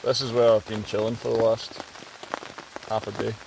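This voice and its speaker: A man with a Scottish accent softened by years in Cornwall and Canada, chatting relaxed and laughing easily at an outdoor camp. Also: on the low side, normal speed, vocal fry. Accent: Scottish, mixed with time in Cornwall and Canada. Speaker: outdoor vlogger. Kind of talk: casual chat by a campfire. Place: woodland camp outdoors in heavy rain. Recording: rain noise outdoors.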